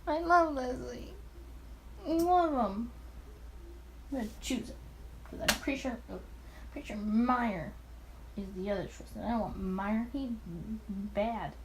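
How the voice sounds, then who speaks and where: A woman's voice: a little high, unhurried, pauses often, a little hiss or hum, low-quality recording. A woman doing a casual let's-play of a visual novel, gushing in informal asides. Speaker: video game player reading a visual novel aloud.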